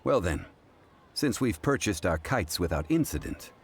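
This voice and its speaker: A man voicing a learned, composed funeral parlor consultant in a game, speaking in a formal, measured way. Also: low-pitched, very animated, slightly rough, full character voice. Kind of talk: game voice acting. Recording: game cutscene with music.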